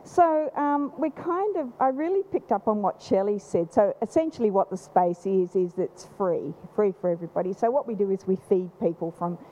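A woman with a loud voice, speaking from the audience at a panel event, rambling with many restarts. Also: mid-range voice, moderate pace, few pauses. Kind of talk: unscripted comment from the floor. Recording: slightly dull live event recording.

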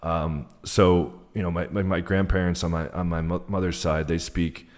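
A man with an American accent and a low-pitched voice talks as a guest on a podcast. His delivery is casual and rambling, with "like" scattered all through his sentences. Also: little pitch movement, moderate pace, darkish tone. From United States. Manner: rambling, peppered with "like". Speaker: podcast guest, dating coach. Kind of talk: casual conversational interview answers.

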